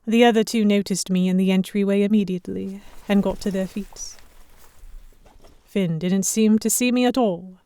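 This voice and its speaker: A woman's voice: medium pitch, fairly even intonation, normal speed, dark tone, clear, resonant tone. A woman giving scripted first-person narration for a historical audio drama. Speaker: narrator and lead actor.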